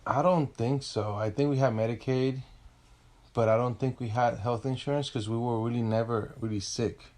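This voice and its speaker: A man in his thirties from Puerto Rico, a podcast guest, soft-spoken and halting. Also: mid-range voice, fairly even intonation. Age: 30s. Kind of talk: casual interview.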